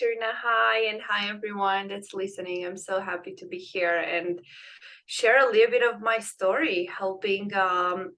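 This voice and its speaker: A young woman with a Romanian accent tells her story as a podcast guest. Her voice is bright and forward, and she talks in an earnest, searching way, full of ums and restarts. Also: medium pitch, a little hiss or hum. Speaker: guest, transitions coach. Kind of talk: personal storytelling in a podcast interview.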